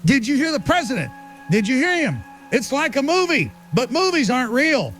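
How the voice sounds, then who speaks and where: An actor playing an agitated, paranoid conspiracy broadcaster, delivering an urgent, insistent monologue full of rhetorical emphasis in an audio drama. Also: male voice, very high voice, sing-song, normal speed, fairly bright tone, heavily acted. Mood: agitated, incredulous. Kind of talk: ranting conspiracy talk show monologue. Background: music.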